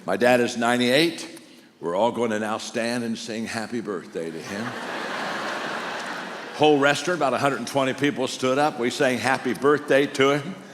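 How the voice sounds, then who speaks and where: An older man preaching a sermon, telling stories in a warm, conversational way and often restarting his sentences. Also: mid-range voice, normal speed, slight background noise. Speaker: pastor. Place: large church auditorium. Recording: long reverb of a large hall.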